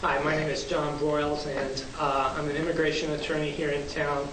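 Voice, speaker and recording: Flat-voiced man speaking from afar in a hall. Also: fairly high pitch, moderate pace. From Kentucky. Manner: polite, filler-heavy opening remarks. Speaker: immigration attorney. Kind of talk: public talk at a forum. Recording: echoey hall, distant mic, hiss.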